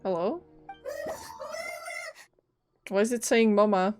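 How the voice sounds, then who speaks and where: A woman's voice: mid-range voice, slow pace, darkish tone, soft background music. A woman streamer giving casual, playful reactions while playing a game, often laughing and blurting out comments. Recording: game audio in the background.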